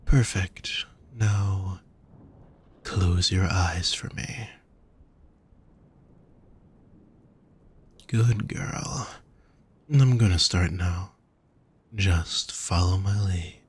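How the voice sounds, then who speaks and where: Casting: male performer as a gentle demon boyfriend for intimate audio roleplay; husky, unhurried, tenderly teasing. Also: low voice, fairly even intonation, heavily acted. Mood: affectionate, coaxing.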